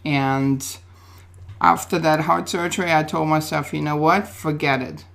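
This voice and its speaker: A woman's voice: low voice, fairly even intonation, measured pace, vocal fry, a bit bright. A woman from Austria with an Austrian accent, answering interview questions in an upbeat, determined way. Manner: upbeat, determined, speaks in short affirmations. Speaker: guest, plus-size model.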